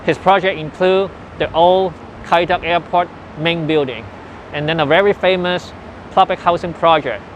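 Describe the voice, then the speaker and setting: A man in his forties with a Hong Kong English accent, earnestly recalling his past in an unhurried interview with loose grammar. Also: a little high, slight background noise, poor audio. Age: 40s. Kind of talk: personal reminiscence in interview. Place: old building where his family lived and worked.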